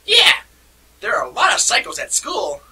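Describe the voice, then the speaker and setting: Man doing a goofy little brother, squeaky high voice, wild swoops, amateur cartoon. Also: wildly swooping pitch, moderate pace, sharp tone, husky, exaggerated voice. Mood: whiny. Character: goofy, excitable young brother, a boy.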